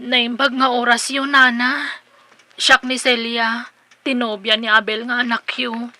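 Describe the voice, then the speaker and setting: A woman's voice: on the high side, little pitch movement, a little slow, sharp tone, a little hiss or hum. An actress playing a coy, lovesick and respectful young girlfriend in an Ilocano radio comedy drama, with an Ilocano accent. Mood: polite.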